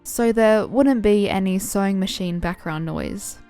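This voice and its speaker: A woman narrating and hosting fan fiction audio in an expressive storytelling style. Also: medium pitch, a little slow. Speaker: narrator and host. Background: background music.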